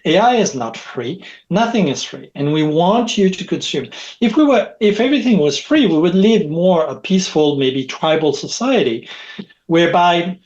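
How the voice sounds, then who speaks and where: A man's voice: sits high, animated, moderate pace, a little mellow, lo-fi sound. A middle-aged man whose first language is French, speaking as a guest in a podcast interview over a video call, rambling and repeating words, often adding a questioning "right?". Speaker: guest, technology expert.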